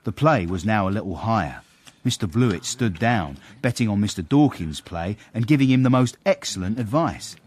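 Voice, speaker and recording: Actor as low-voiced, droll manservant narrator. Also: male voice, animated, normal speed, a little mellow, a little hiss or hum, natural voice. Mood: wry, knowing. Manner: droll, knowing. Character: wry, knowing gentleman's manservant recounting his master's swindles. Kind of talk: in-character storytelling in radio drama.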